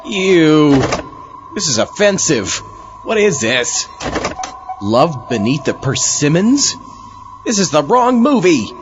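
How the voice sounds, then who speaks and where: A man's voice: a little high, sing-song, takes it slowly, long pauses, music in the background. Man playing a dry zombie astronaut host, slightly muffled. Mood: irritated. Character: mildly irritated, deadpan undead zombie astronaut host. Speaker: podcast host. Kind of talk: comic audio drama and scripted narration.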